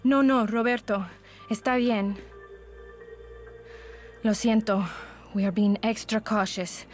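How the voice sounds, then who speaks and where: A woman's voice: medium pitch, steady tone, takes it slowly, lightly acted. A woman as a wary, Spanish-accented village operative. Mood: grave, wary. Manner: grave, exasperated, muttering Spanish asides. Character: wary, weary woman official in a besieged village. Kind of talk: video game voice acting. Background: music.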